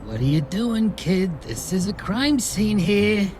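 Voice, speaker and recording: Casting: world-weary fatherly bartender, gruff, animated intonation, noir drama over score. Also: high-pitched, slow pace, exaggerated voice. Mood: weary annoyance. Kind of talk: noir audio drama acting. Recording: music behind the voice.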